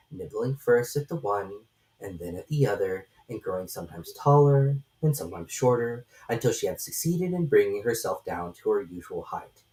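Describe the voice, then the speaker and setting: A woman reading a storybook as narrator in an expressive, scripted style. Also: rumbling low, rough recording.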